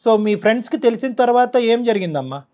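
A man's voice: extremely high pitch, lively intonation, quick pace, background noise. A middle-aged man hosting a counselling interview in a studio, asking probing questions. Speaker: counsellor and show host. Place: quiet dark TV studio.

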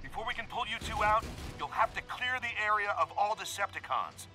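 A military major in an action game, fairly high-voiced, brisk and focused. He speaks in urgent, clipped orders beneath loud music. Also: lively intonation. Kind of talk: video game radio briefing. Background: loud music, gunfire.

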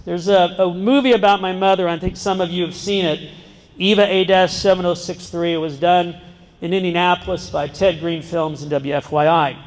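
A middle-aged man with a fairly high voice speaks earnestly into a handheld microphone, now and then breaking off to start a line again. His words ring out through a big gym with a long, lingering reverb. Rows of seated students fill the room as he talks to them. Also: fairly even intonation, moderate pace. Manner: earnest, breaks off and restarts, jokes with the audience. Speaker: guest speaker. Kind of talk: public talk to students. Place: large school gym. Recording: long reverb in a large hall. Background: seated student audience.

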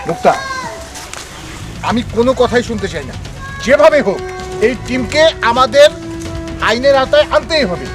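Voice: mid-range voice, big pitch swings, moderate pace, long pauses, close to an everyday voice. An actor playing a stern, resolute senior police officer in an amateur short film. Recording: music behind the dialogue.

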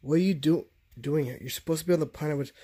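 A man hosting a casual improvised plush puppet skit, with halting, repetitive delivery. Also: a little high, warm tone, breathy edge. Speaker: host of puppet reaction videos. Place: carpeted bedroom.

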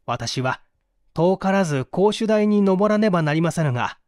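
A man narrating a mystery story as a first-person confession, in a measured, formal literary delivery. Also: high-pitched, expressive, a little mellow, no echo, clean studio sound. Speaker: audiobook narrator.

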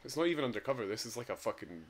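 A man's voice: medium pitch, lively intonation, very fast, slight background noise. A young Scottish man giving casual, jokey, sweary commentary as a gaming video host. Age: young adult. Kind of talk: casual joking game commentary.

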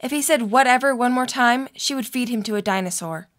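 A woman's voice: a little high, brisk, a bit bright. A young adult woman reading scripted story narration for an audio drama.

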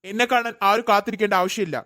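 A woman's voice: medium pitch, fairly even intonation, fast talker, a bit bright, no room sound, studio quality, lightly acted. A woman voicing an irritable young man, curt with his fussing mother. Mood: irritated. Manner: curt, annoyed.

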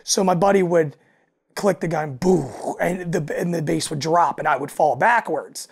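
A man with a raspy voice, talking casually as a podcast guest and telling stories with acted-out asides. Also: a little high, moderate pace. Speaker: guest, alternative country singer and frontman.

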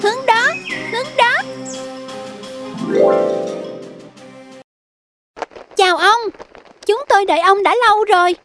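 Woman doing a very high little sparrow. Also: fairly quick. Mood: welcoming. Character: small grateful sparrow, gentle and regal. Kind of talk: children's fairy-tale cartoon. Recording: music behind the voice.

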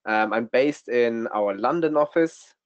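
A man with a German accent presents in a webinar, halting and filling his pauses with frequent ums. His voice sits at a middle pitch. The audio is a little dull and lo-fi, as if heard over a video call. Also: clear, resonant tone. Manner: hesitant, many ums. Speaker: guest speaker, lead data scientist.

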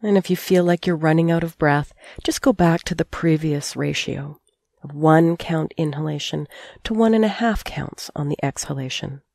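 Low, mellow woman guiding sleep meditation. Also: creaky, no echo, studio quality. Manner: calm, soothing, measured with long pauses. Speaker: meditation teacher. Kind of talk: guided sleep meditation narration.

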